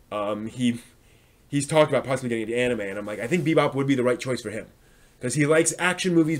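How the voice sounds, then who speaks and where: A man hosting a live stream, talking casually and answering viewers' comments in a hesitant, thinking-aloud manner. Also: mid-range voice.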